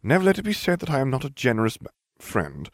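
A man's voice: mid-range voice, huge pitch swings, quick pace, no room sound, clean studio sound, pitched above the performer's own voice. A man voicing a self-righteous, glib schoolboy with a pompous manner in a storybook reading. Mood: smug. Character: cocky, self-righteous schoolboy, indignant and oblivious.

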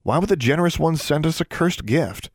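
A man plays a young girl witch in a storybook, pitched up from his own voice. She is headstrong and sarcastic, arguing with defiant emphasis in a dry recording. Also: animated, fairly quick, breathy edge, dry recording.

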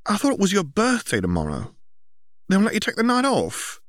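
A man's voice: high-pitched, wildly swooping pitch, a little fast, fairly bright tone, no room sound, studio quality, voice pushed up, full character voice. A man voicing a spirited, blunt teenage girl, a cheeky younger sister, in an audiobook story. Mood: surprised, questioning. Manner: blunt, interrupting.

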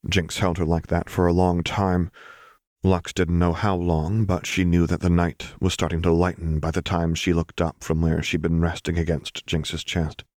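Male audiobook narrator, very deep register, dry sound, scripted fiction. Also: flat delivery, normal speed, darkish tone, dry recording.

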